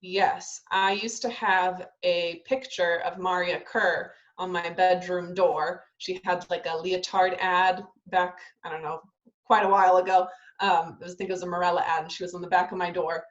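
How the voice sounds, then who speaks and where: A woman's voice: on the low side, little pitch movement, moderate pace, highs cut off. A young adult woman, a ballet dancer born in Italy and raised in Southern California, chatting casually in an interview with lots of ums and restarts. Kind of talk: casual interview over video call.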